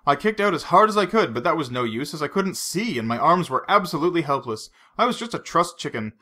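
A man's voice: on the high side, big pitch swings, fast, pushed and bright, voice pushed up, full character voice. A man reading aloud and voicing a shaken, apologetic young woman with an earnest, well-spoken manner.

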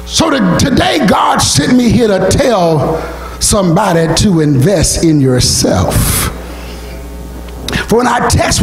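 A middle-aged man, a Baptist pastor with a gravelly voice, preaching fervently and emphatically with repeated phrases into a handheld microphone in a church. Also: high-pitched. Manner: emphatic, repetitive, call-and-response preaching. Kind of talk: fervent sermon to a congregation. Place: church sanctuary, at the pulpit. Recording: echoey church PA with music.

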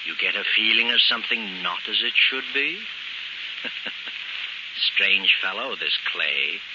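An actor playing a sinister, mocking, all-knowing narrator in a 1940s radio mystery, speaking sardonically to the heroine. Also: male voice, on the low side, expressive, unhurried. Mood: taunting. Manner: sardonic, addresses the heroine directly. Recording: noisy, muffled 1940s radio recording.

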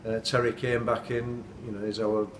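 Middle-aged man, football manager, earnest and flat, noisy room sound. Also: lowish voice, normal speed, distant mic. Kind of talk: post-match interview. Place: indoors at night, dim room. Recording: echoey room, off-mic, noisy.

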